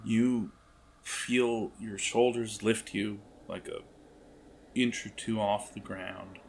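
Low, slow, creaky-voiced man as game master. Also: flat delivery, slight background noise. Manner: halting, searching for words. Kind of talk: improvised narration of a tabletop horror game.

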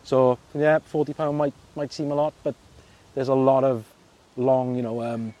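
A man's voice: mid-range voice, level tone, moderate pace, warm tone, highs cut off. A man from Merthyr Tydfil with a South Wales accent, chatting as a guest in a casual interview, laughing often and full of fillers and restarts. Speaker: fishing club secretary, guest.

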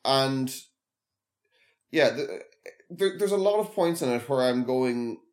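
A man co-hosting a podcast in casual chat, measured and a bit hesitant, with a dry tone. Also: medium pitch, animated. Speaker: podcast co-host.

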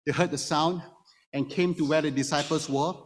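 A man's voice: a little high, steady tone, moderate pace. A man who is a native Hokkien speaker, preaching an explanatory sermon to a congregation and putting rhetorical questions to his listeners. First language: Hokkien Chinese. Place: church room before a congregation. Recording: reverberant hall, long echo.

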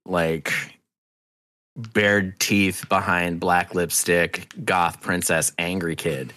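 A middle-aged man from the US East Coast, a podcast guest chatting casually and effusively, with halting restarts and swearing. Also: low voice, flat delivery, bright, forceful tone, no room sound, clean studio sound.

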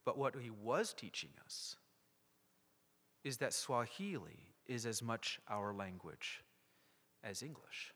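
A male pastor preaching a sermon to a congregation, emphatic and rhetorical, with deliberate pauses. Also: mid-range voice, lively intonation, bright, forceful tone. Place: church sanctuary. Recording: long hall reverb.

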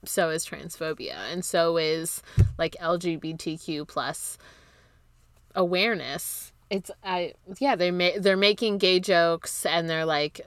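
A woman's voice: lowish voice, fairly even intonation, takes it slowly, clear, resonant tone, no echo. A woman co-hosting a podcast in casual film chat, blunt and informal, with casual swearing. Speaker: podcast co-host.

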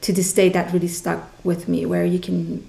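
A woman from Iran, an artist guest in an interview, speaking casually and hesitantly with many pauses and restarts. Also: on the low side, monotone, gravelly, mellow. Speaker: guest, artist and hat designer. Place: studio surrounded by hats. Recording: roomy echo.

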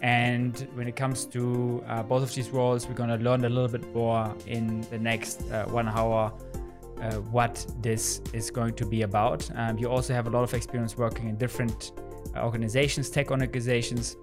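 A man with a mid-range voice hosts a podcast, reading an introduction and then asking earnest questions full of fillers. Clear music plays behind his voice. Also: medium pitch, little pitch movement, moderate pace. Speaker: podcast host.

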